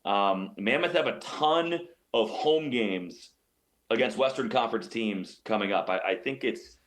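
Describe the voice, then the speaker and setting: A man's voice: medium pitch, expressive, measured pace, a bit bright. A man giving sports analysis as an interview guest, explaining his points emphatically and often repeating phrases. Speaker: radio play-by-play announcer as guest.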